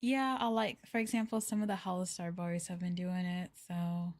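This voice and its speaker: Woman streamer, casual chat, rambling, giggly, slightly low voice. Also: flat delivery, moderate pace. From United States. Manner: rambling, filler-heavy with lots of "like"; giggly and playful. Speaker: VTuber streamer.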